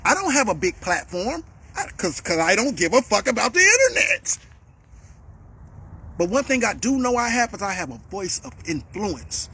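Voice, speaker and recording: A man delivering a heated, repetitive monologue as a vlogger, calling out a rival and swinging up to shouts. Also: sits high, big pitch swings, normal speed, slightly rough.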